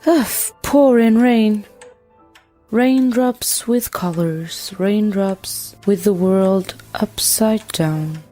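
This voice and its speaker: A woman's voice: mid-range voice, drawn-out words, warm tone, faint music behind. A woman playing a loyal, outspoken housemaid in an audio drama, earnest and formal. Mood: dreamy, weary.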